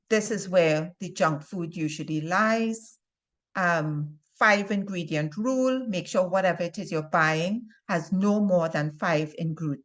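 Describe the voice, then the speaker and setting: A woman from Trinidad and Tobago with a Trinidadian accent gives an informal instructional talk to viewers as a health presenter, speaking deliberately and stressing key words. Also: fairly low pitch. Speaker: health and wellness video presenter.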